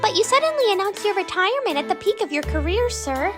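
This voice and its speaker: A performer voicing a fussy, loyal old underworld attendant in an animated drama, chatty, deferential and fretful. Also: very high-pitched, fast talker. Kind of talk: animated-story character acting. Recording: music behind the voice.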